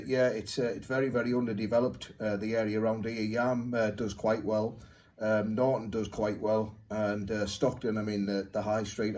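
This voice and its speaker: Low, even-voiced man. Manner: hesitant, halting phrasing in short pieces. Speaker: amateur radio operator. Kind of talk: unscripted ham radio contact chat.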